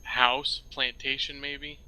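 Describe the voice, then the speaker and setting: Male gamer, very bright and crisp, fairly even delivery, casual game-table talk. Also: mid-range voice, slow, low-quality recording. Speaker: role-play game player.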